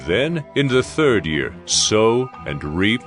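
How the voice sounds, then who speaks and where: Casting: stern Old Testament prophet played by a man, low, authoritative, declamatory, faint music beneath. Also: sing-song, takes it slowly, fairly bright tone, heavily acted. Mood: stern proclamation. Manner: solemn, declamatory. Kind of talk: dramatized scripture reading.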